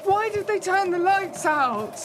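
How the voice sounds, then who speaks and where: A woman's voice: extremely high pitch, animated, a little slow, noisy background. An actress playing a sceptical, flustered, sarcastic woman in a radio play, with music behind her. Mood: incredulous. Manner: incredulous, sarcastic, exasperated. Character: sceptical, flustered young woman, sarcastic London newcomer fleeing werewolves. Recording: loud music behind the dialogue.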